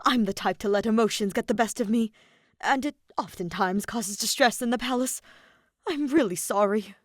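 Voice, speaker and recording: Woman acting out a high, dramatic blonde male queen. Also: normal speed, dry recording, studio quality, natural voice. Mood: apologetic, reassuring. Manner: emotional, wailing outbursts.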